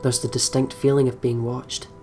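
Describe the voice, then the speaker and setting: A woman let's player reading game narration aloud and commenting on it, expressive and giggly. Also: very deep voice, normal speed. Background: game music.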